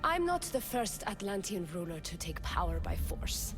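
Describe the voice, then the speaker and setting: A woman's voice: mid-range voice, big pitch swings, normal speed, music in the background, lightly acted. A woman playing a passionate, ambitious young ruler in a video game, poised and earnest. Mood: resolved, tender. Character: passionate, ambitious young female ruler of Atlantis, softly scheming. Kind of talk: video game cutscene acting.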